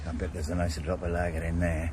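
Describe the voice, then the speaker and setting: A man's voice: sits low, monotone, quick pace, a little mellow, slight background noise, close to an everyday voice. An actor playing a wry, world-weary retired Welsh ex-policeman working as a private eye, narrating his own story. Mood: probing. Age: older. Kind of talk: radio drama with first-person narration.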